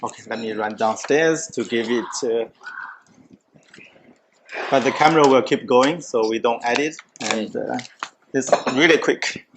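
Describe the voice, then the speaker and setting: A man in his forties hosting a casual chat over a meal, speaking haltingly with many restarts and fillers. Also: mid-range voice, background noise. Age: 40s. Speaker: host.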